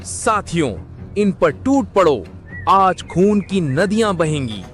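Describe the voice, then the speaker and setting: A man's voice: high-pitched, animated, slow, soft background music, exaggerated voice. A man voicing a fierce, hot-headed cheetah pack leader in a cartoon, with a threatening manner. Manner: threatening, forceful. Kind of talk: animated moral-story character acting.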